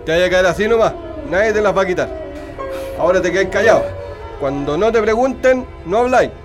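Man acting high-pitched, bullying Chilean police officer. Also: lively intonation, fairly quick, over music. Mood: menacing. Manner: barking curt orders, interrogating. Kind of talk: radio drama acting.

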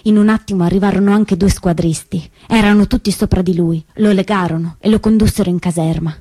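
A woman performing scripted theatrical storytelling, with dramatic, emphatic and deliberate phrasing that moves between hushed and declamatory. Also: mid-range voice, steady tone, husky. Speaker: solo theatre performer and narrator. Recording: roomy stage sound, faint music behind.

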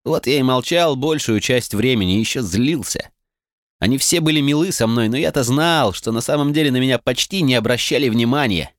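A man's voice: mid-range voice, brisk, no echo, clean studio sound, voice pushed up, natural voice. A man voicing a modest, streetwise young man in an audiobook, talking in a self-deprecating, eager way. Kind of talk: audiobook character reading.

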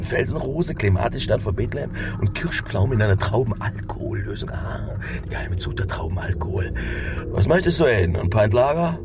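A man acting in a German radio drama as a stubborn, anxious middle-aged widowed father and factory worker, halting and emotional. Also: fairly high pitch. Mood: wry, self-soothing. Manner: halting, emotional, muttering to himself.

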